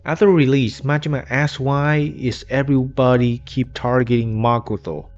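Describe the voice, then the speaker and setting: A male video essayist narrates a game story from a script, at a middling pitch. His English grammar is slightly non-native. He is on a cheap home mic with music underneath. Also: steady tone, moderate pace, darkish tone, highs cut off. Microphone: inexpensive home microphone.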